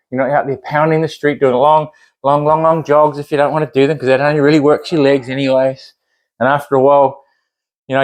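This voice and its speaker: A man with a New Zealand accent demonstrating a home workout, chatting encouragingly and counting reps aloud while he exercises. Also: on the high side. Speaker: fitness instructor.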